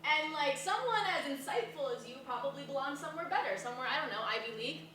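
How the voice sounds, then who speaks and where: A woman's voice: sits high, expressive, fast. Sarcastic, cagey young daughter for a play reading, acted by a woman; distant mic, noisy reverberant room. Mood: sarcastic, needling. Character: defensive, sarcastic young college-dropout daughter hiding lies. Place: echoey room at a staged reading, far mic.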